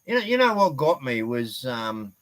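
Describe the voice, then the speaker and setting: A man's voice: medium pitch, big pitch swings, normal speed, a bit bright. A man, a guest on a casual live-stream chat, speaking bluntly and swearing casually.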